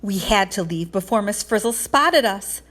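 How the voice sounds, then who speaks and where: A woman narrates a children's story as a teacher would in a read-aloud. Her voice sits at a middle pitch, and her delivery is expressive. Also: moderate pace.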